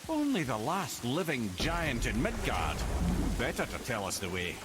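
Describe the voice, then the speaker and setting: Casting: wise, courtly severed head, mid-pitched and very expressive, wry fantasy game advisor. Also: male voice, moderate pace, very noisy. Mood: wry, counselling. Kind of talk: cinematic game voice acting.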